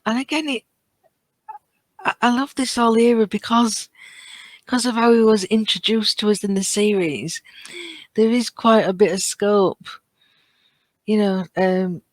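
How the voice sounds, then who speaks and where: A woman's voice: mid-range voice, steady tone, unhurried, pauses often, no room sound. A woman talking casually as a fan in a podcast discussion. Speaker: podcast guest or co-host.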